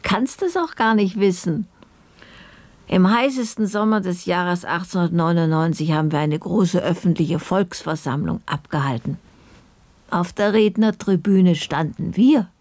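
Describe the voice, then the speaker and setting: An actress playing an older grandmother who narrates her youth as a maidservant in an unhurried, reflective radio drama style. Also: lowish voice, darkish tone, dry recording.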